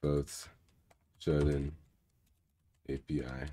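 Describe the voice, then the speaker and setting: A man hosting a casual live coding session. He is sick, and his naturally low, rumbly voice sounds raspier than usual. He rambles through the work with many fillers and mutters to himself. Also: level tone, very slow, dark tone. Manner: rambling, filler-heavy, mutters while clicking around. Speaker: cybersecurity channel host. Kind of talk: casual live coding walkthrough, reading viewer questions.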